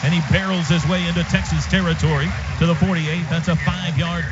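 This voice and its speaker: High-pitched American football play-by-play man. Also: steady tone, brisk. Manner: clipped, punchy phrases. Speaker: radio play-by-play announcer. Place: stadium radio booth. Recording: dull, noisy live radio broadcast.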